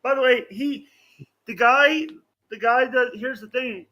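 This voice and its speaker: A man in his late twenties chatting as a podcast guest, halting and scattered, often repeating himself. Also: extremely high pitch, pushed and bright, dry recording. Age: late 20s. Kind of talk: casual podcast chat.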